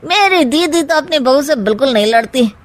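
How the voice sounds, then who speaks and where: A woman voicing a scheming, meddling uncle in an animated comedy story, with a sly, wheedling, drawn-out delivery. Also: high-pitched. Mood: gleeful scheming.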